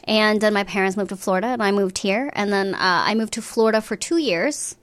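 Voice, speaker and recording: A woman's voice: medium pitch, a little fast. A woman in her thirties, originally from Colombia, a guest on a comedy podcast giving dry one-liners. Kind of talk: casual comedy chat.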